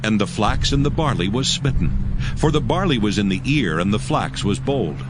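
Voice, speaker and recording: A man's voice: on the low side, lively intonation, normal speed, close to an everyday voice, low-quality recording. A man narrating a dramatized scripture reading in a solemn, scripted style. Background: music.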